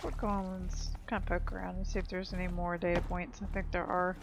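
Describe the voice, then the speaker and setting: A woman's voice: lowish voice, little pitch movement, fairly quick, creaky. A woman giving casual commentary as she plays a video game. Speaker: game player. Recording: game music behind the voice. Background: game music.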